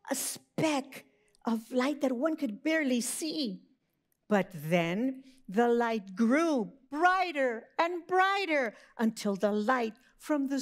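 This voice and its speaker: A woman narrating a scripted Christmas story for children, expressive, with drawn-out emphasis. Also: a little high.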